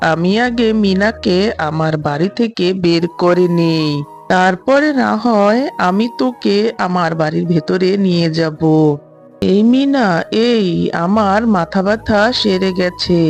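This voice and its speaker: A sly cartoon tigress, played by a woman, wheedles and orders others about. She takes her time over each greedy demand, at a middling pitch, with light music underneath. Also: expressive, unhurried. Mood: bossy, scheming. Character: sly, greedy adult tigress neighbour who steals. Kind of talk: cartoon character dubbing. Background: background music.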